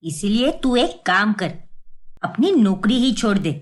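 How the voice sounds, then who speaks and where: A woman's voice: medium pitch, animated, a little slow, close to an everyday voice. A woman voicing a stern, thrifty, middle-aged mother-in-law in a family cartoon, with a bossy, commanding manner. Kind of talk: Hindi family-drama cartoon voice acting.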